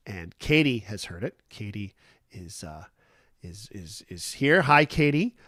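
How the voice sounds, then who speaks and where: A man's voice: lowish voice, very animated, a little slow, bright, forceful tone, dry recording, studio quality. Man with a stuffy, nasal cold voice. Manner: rambling and easygoing, many fillers and restarts. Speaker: livestream host. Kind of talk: casual morning chat.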